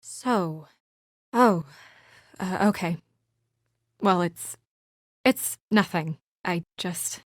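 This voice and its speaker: A woman playing an earnest, weary and conscientious young administrator in a video game, speaking in a measured, serious, sometimes hesitant way. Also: on the low side, dry recording, studio quality. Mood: hesitant, sincere. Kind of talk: naturalistic video game voice acting.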